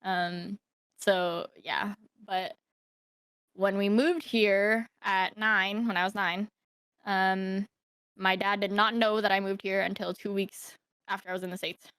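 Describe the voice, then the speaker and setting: A woman's voice: mid-range voice, steady tone, slow pace, a bit bright, smooth, no echo. A young woman from Thailand telling her life story as a podcast guest, hesitant, with many fillers and restarts. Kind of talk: casual interview storytelling.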